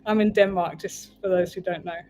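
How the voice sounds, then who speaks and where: A woman's voice: on the low side, fairly even intonation, moderate pace, dark tone. A woman from the UK with an English accent, hosting a casual livestream as a tarot reader, chatting in a meandering way and breaking off to fix her stream. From United Kingdom.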